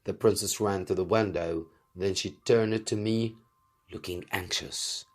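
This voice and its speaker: A man reading a story aloud as a teacher would, in an expressive and deliberate way for learners. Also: low-pitched. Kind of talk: scripted story narration.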